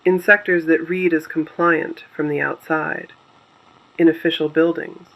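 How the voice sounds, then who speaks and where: A performer playing a solemn, resolute resistance radio host in a scripted dystopian drama, speaking gravely and deliberately in short phrases. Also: medium pitch, level tone, fairly bright tone, rough recording. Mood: quietly defiant.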